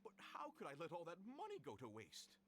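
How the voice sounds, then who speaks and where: An actor playing a cornered, pleading merchant who stammers, in a video game. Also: male voice, a little high, huge pitch swings, fairly quick, fairly bright tone, a little hiss or hum. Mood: anxious, pleading. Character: flustered, cornered male merchant confessing a swindle.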